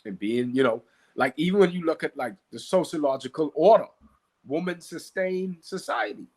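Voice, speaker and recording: A man from the Bahamas with a Bahamian accent and a slightly husky voice, teaching conversationally and emphatically, repeating key phrases and asking rhetorical questions. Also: a little high, animated, normal speed. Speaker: podcast guest, Qigong practitioner and teacher.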